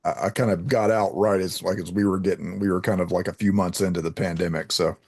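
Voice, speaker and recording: A man hosting an interview in a casual, halting way, repeating words as he thinks. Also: low voice, fairly even intonation, darkish tone, slightly rough, dry recording, clean studio sound. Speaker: interview host. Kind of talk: casual chat.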